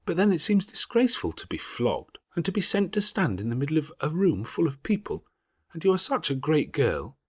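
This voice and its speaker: A male narrator plays an impulsive young schoolgirl in an audiobook. The voice is lifted well above a man's natural register and swings freely, with a slightly husky edge. The manner is eager, emphatic and quick to indignation. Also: fairly high pitch, sing-song, voice pushed up. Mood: indignant. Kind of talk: audiobook character voice.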